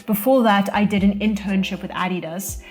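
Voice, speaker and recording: A woman's voice: medium pitch, normal speed, soft background music. A young South African woman talking casually to camera, giving career advice from her own experience. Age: young adult. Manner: relaxed, conversational, speaks from own experience. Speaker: vlogger sharing career advice. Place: indoor room, losing daylight.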